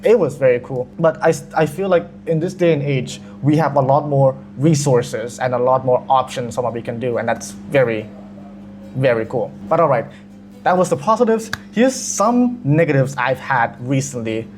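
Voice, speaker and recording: A man's voice: medium pitch, normal speed, a little mellow, slight background noise. Rambling young man, casual and sweary. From Thailand. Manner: rambling, self-deprecating, swears a lot. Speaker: video creator and dungeon master. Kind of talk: casual chat.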